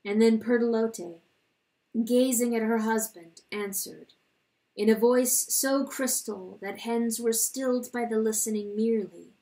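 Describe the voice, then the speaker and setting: A woman reading a literary story dramatically, in a solemn, deliberate narrating style. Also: fairly high pitch, warm tone, far from the mic, poor audio. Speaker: audiobook reader.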